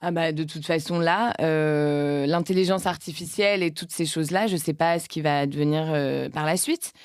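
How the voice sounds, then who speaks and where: A woman's voice: low voice, moderate pace, clean tone, no room sound, clean studio sound. A woman in her late thirties, an actress and producer, talking casually in an interview, rambling with many restarts. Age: late 30s.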